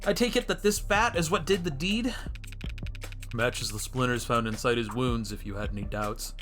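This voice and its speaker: A man's voice: medium pitch, normal speed, fairly bright tone, soft background music, natural voice. Man reading blunt, jaded crime-scene investigator. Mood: matter-of-fact.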